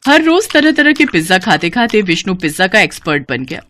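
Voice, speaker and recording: A woman storyteller narrates a children's moral tale. Her mid-pitched voice moves up and down in a lively way, with faint noise and small clicks behind it. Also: fairly quick.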